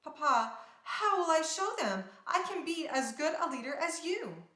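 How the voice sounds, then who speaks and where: Storybook princess, teens, played by a woman reader: high-pitched, very lively, headstrong and earnest. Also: unhurried, heavily acted. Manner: emphatic, indignant, earnest. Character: spirited, stubborn teenage crown princess, eager to lead. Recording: roomy indoor echo.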